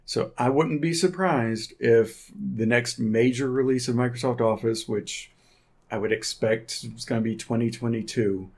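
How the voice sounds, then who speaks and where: A man's voice: medium pitch, a little slow, darkish tone, slightly rough. A man giving casual, unscripted tech commentary as a video host, in a conversational, opinionated way.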